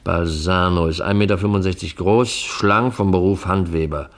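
A man's voice: sits low, normal speed. An actor playing a dutiful, matter-of-fact police assistant in a 1950s German radio crime drama, reporting methodically to his chief. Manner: methodical, reporting and reading out files.

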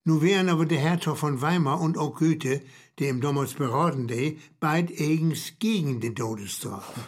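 An actor playing a wry, knowing storyteller in radio play banter, husky, with a Low German accent and a dry, explanatory manner. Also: male voice, moderate pace, close to an everyday voice. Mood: dry, grave.

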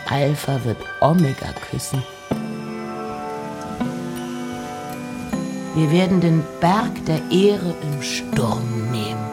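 An actress playing an intense, eccentric nineteenth-century woman poet, reciting in a literary radio play with deliberate, theatrical phrasing and pauses. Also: low voice, expressive. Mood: exalted, fervent. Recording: music behind the voice.

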